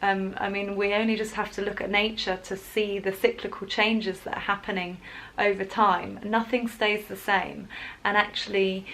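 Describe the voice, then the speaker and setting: A woman's voice: medium pitch, level tone, moderate pace, a bit bright, slight background noise, low-quality recording. A woman talking casually to camera in a rambling vlog, laughing often as she goes. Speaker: wellbeing vlogger. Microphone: camera in front, leaning closer at times.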